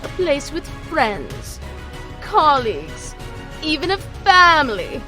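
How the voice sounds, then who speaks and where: A woman's voice: high-pitched, big pitch swings, takes it slowly, pushed and bright, soft background music, heavily acted. A woman playing a menacing villain in an audio drama, taunting with mock sweetness and condescension. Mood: scornful. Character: mocking, menacing villain taunting a young magician.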